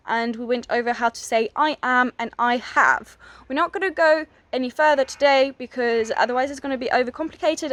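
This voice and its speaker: A woman's voice: high-pitched, a bit bright, no echo. A young woman, raised speaking French and English, teaching a beginner French lesson and carefully modeling each word. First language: French and English bilingual. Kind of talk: language lesson.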